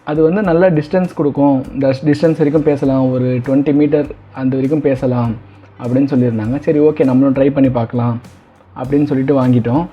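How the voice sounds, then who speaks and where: A man's voice: medium pitch, husky. Man, casual unboxing host, dull audio. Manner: conversational, mixes in English words. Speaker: video host. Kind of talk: casual product unboxing commentary. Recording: dull lo-fi, faint music behind. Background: faint music.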